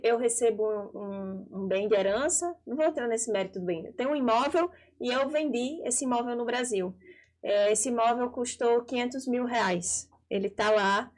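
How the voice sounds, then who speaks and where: High-voiced Brazilian woman co-hosting. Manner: didactic, frames practical cases for viewers. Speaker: co-host of a live show. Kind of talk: conversational live discussion.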